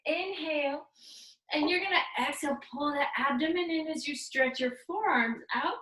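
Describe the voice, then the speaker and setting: A woman's voice: high-pitched, normal speed, fairly bright tone. Brief: female fitness instructor, playful cueing, recorded far off in an echoey room. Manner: upbeat, playful cueing mixed with Spanish words. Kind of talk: guided workout cues.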